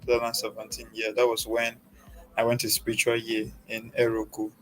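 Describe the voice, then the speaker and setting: A young man from Nigeria with a Nigerian accent, a guest telling his life story in a soft, hesitant way with many pauses. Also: medium pitch, monotone, soft background music, rough recording. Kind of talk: personal story in an interview.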